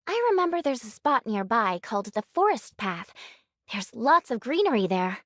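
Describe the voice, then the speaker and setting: A woman playing a gentle, poetic, helpful young woman in an anime-style game. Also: fairly high pitch, sing-song, normal speed, dry recording, clean studio sound.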